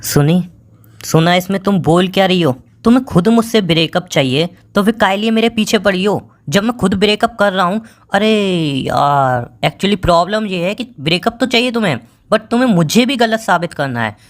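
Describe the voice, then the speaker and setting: A man's voice: high-pitched, lively intonation, normal speed. A man plays a bitter young boyfriend in a romantic phone-call drama. He talks in casual, colloquial words, with an accusing, sarcastic and distrustful manner. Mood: bitter, fed up.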